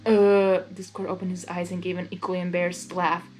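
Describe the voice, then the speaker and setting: A woman reading fan fiction aloud in an informal, excitable way, with casual reaction asides between the narration. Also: lowish voice, fairly quick, soft background music.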